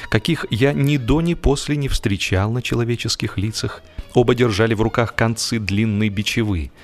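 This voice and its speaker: A man with a fairly low voice reads humorous literary prose as an audiobook narrator. His storytelling is measured and expressive, with deliberate phrasing.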